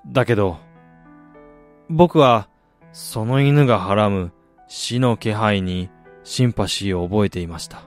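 An actor playing a weary, reflective middle-aged man in a radio drama, recounting his losses in a measured, confessional way. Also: fairly low pitch, soft background music. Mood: reflective, haunted. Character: weary man who lost family, confessional, brooding. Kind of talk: Japanese radio drama acting.

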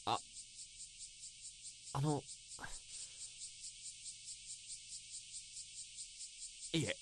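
A man's voice: drawn-out words, over music. A man acting in a radio drama as a self-conscious young man, earnestly and politely recounting an awkward romantic memory in a confessional monologue. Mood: hesitant, tense.

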